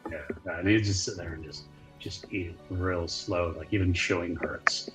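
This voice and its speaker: A man's voice: deep voice, steady tone, normal speed, music in the background, rough recording. A man narrating his character casually as a tabletop roleplay player.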